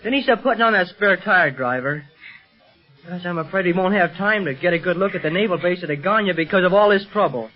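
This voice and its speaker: A man's voice: high-pitched, animated, fairly quick. An actor playing a brave, earnest, eager teenage boy in a 1930s juvenile radio adventure serial. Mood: alert. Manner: earnest, boyish, exclamatory. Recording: muffled old radio recording with music.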